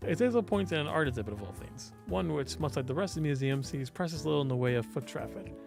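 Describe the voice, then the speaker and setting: A man's voice: on the high side, animated, quick pace, creaky, over music. A man reading visual novel narration aloud expressively, as a let's player and voice actor would.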